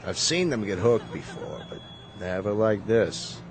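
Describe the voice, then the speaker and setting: Low-voiced, sober official. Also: male voice, animated, measured pace, over music. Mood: sober, questioning. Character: sober male official taking charge at death scene. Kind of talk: radio drama acting.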